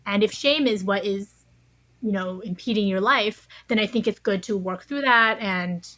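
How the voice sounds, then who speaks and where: A woman's voice: medium pitch, normal speed, pushed and bright, no echo. A woman speaking as an interview guest, giving thoughtful, conversational answers. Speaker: interview guest, science writer.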